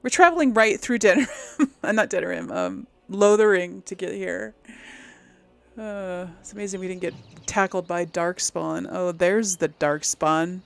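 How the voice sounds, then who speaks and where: Lively woman narrating her gameplay. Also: lowish voice, moderate pace, vocal fry. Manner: thinking aloud, reading quest text aloud. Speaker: let's play host. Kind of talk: casual gameplay commentary.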